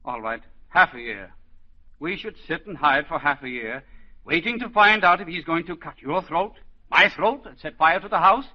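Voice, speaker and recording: An actor playing a gruff, sardonic older psychoanalyst who scolds and mocks his former pupil, in 1940s radio acting. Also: a little high, expressive, normal speed. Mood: scolding. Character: gruff older male psychoanalyst mentor, teasing, stubborn. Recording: dull 1940s radio broadcast.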